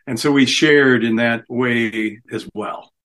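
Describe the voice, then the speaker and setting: A man's voice: mid-range voice, fairly even intonation, takes it slowly, a bit bright. A middle-aged man, a singer and guitarist, chatting easily as a guest in a radio interview and laughing readily. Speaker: musician guest. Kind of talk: casual radio interview chat.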